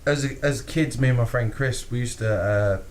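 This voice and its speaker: A man's voice: mid-range voice, normal speed, a little hiss or hum. A British man co-hosting a casual podcast, swearing freely, laughing often and acting out lines. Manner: animated, sweary, laughs often, acts out quotes. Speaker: podcast co-host.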